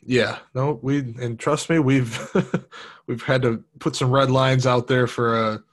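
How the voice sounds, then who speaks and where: A man's voice: mid-range voice, fairly even intonation, a little slow. Laid-back man who laughs a lot. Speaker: podcast co-host. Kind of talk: casual chat.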